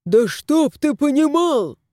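Lady doing a weepy little house goblin guy, wails and pleads, high pitched. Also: very animated, slow pace, mellow, no room sound, studio quality, heavily acted. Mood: fretful. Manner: wailing, pleading. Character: tearful little bearded male house spirit.

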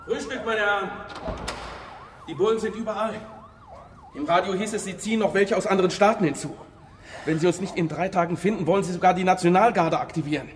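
An actor playing a blunt, restless bank robber in a radio crime drama, with a brisk colloquial delivery. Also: male voice, high voice, slight background noise, close to an everyday voice. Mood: brisk, wry. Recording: long reverb of a hall.

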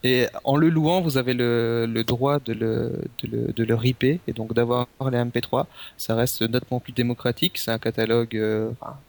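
A man's voice: mid-range voice, little pitch movement, normal speed, creaky, hardly any pauses, darkish tone, a little hiss or hum. A man chatting on a tech panel, repeating words and using many fillers. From Belgium. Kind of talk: casual panel chat.

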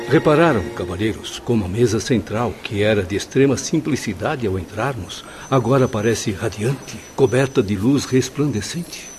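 An actor with a Brazilian accent playing an observant, courtly gentleman in a radio drama. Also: fairly low pitch, animated, normal speed, faint music behind, natural voice. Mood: curious, admiring.

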